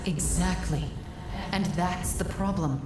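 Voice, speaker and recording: A woman playing a grave advisor in a high fantasy game, explaining things in a measured, earnest way. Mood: grave, resolute. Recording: roomy echo, game music behind.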